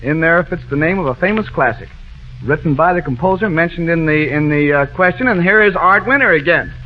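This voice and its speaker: High-voiced man hosting a 1940s radio quiz. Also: animated, fairly quick. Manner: wisecracking, teasing, chatty. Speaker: quiz show host, master of ceremonies. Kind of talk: 1940s radio quiz show hosting with casual joking patter. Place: radio studio show with band and audience. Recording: muffled 1940s radio broadcast, music behind.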